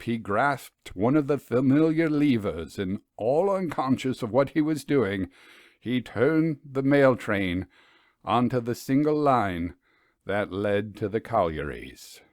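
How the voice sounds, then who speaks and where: A man reading a literary ghost story aloud in a measured, deliberate storytelling manner, with dramatic pauses. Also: medium pitch, no echo, clean studio sound. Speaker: audiobook story reader.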